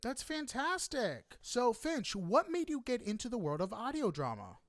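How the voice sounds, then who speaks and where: A high-voiced man hosts an interview podcast. He talks casually and effusively, with plenty of exclamation. The sound is dry, with very little room on it. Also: sing-song, moderate pace, a bit bright, no echo.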